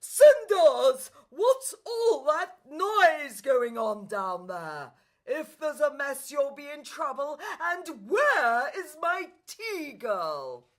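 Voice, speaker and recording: A woman's voice: high-pitched, sing-song, very slow, pitched above the performer's own voice, exaggerated voice. A woman playing a greedy, imperious evil stepmother in a pantomime, haughty, scolding and theatrical. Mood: shrieking, demanding. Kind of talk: stage pantomime acting.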